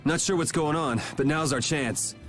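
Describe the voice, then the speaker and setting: A man's voice: a little high, moderate pace, fairly bright tone, soft background music, lightly acted. An actor playing a cool, laid-back, cocky young swordsman in a video game. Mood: wry.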